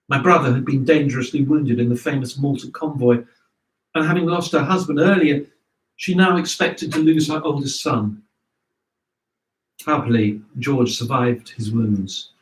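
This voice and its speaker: A man reading a scripted memoir aloud in a measured storytelling way. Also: on the high side. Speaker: reader of memoirs. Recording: roomy echo, distant microphone.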